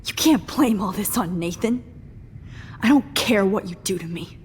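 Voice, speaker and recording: A woman's voice: medium pitch, lively intonation, moderate pace, darkish tone, long reverb tail, a little hiss or hum, lightly acted. A woman voicing a young female photography student in a video game, earnest and defiant.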